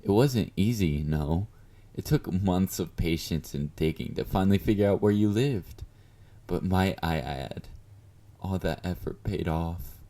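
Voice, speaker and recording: A man's voice: deep voice, a little slow, mellow. A man playing an obsessive, clingy yandere fan in an intimate roleplay monologue, speaking to the listener with doting, flirty confidence. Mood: confident, doting. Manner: doting, confident, coaxing with pet names.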